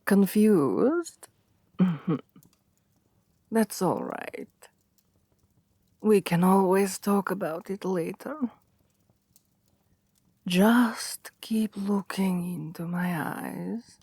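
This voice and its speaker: Woman doing a haughty seductive vampire queen for asmr, super slow and breathy, low creaky purr, bossy and possessive. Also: dry recording, exaggerated voice. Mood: seductive, coaxing. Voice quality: breathy, often dropping to whispers. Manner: languid, condescending, commanding purr with soft chuckles. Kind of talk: ASMR roleplay, intimate and unhurried.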